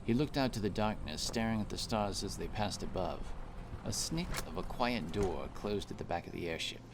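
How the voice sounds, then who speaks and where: Low, gravelly male story narrator. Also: moderate pace, background noise. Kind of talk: scripted fantasy story narration.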